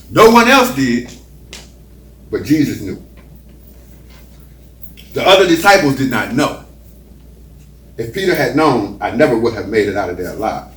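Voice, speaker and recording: A man playing Judas as a guilt-ridden betrayer in a church play monologue, explaining himself theatrically with halting, dramatic pauses. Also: medium pitch. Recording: roomy echo, distant mic, faint noise.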